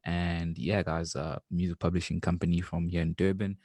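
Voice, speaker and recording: A man's voice: low-pitched, little pitch movement, moderate pace, darkish tone, dry recording. A man with a South African accent chatting casually and encouragingly as a podcast co-host. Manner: encouraging, affirming. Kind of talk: casual chat.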